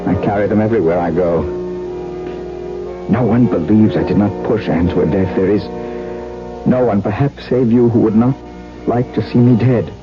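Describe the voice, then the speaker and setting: A man's voice: fairly low pitch, normal speed, pauses often, close to an everyday voice. An actor playing a tormented, obsessed nobleman, telling his tale theatrically in a 1970s radio drama. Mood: desperate. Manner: theatrical, brooding, impassioned. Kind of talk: 1970s radio drama acting, first-person narration. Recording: dull vintage AM radio recording, music behind.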